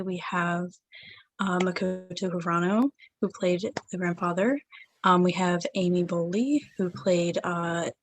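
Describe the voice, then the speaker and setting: Young woman moderating with many ums. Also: mid-range voice. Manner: hesitant, lots of ums. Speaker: panel moderator, student theater club officer. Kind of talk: casual panel hosting. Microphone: video call.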